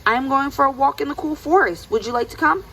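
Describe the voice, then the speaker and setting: A woman's voice: sits high, fairly quick, fairly bright tone, a little hiss or hum, pitched above the performer's own voice. A woman voicing Anansi's part in a children's storybook, a sly male trickster with a coaxing, insistent way of talking. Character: sly lazy trickster spider Anansi, eager and pushy, male.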